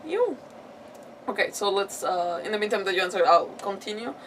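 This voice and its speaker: A woman streaming a game and chatting casually, rambling and halting as she repeats her words. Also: mid-range voice, animated, slight background noise. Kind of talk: casual chat, reacting to a game. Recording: distant mic, game music behind. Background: game music.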